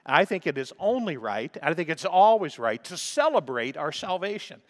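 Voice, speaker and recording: Male preacher, slightly crackly voice, emphatic sermon delivery, frequent throat clearing, church with long reverb. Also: fairly high pitch, very animated, moderate pace. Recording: long reverb of a large hall.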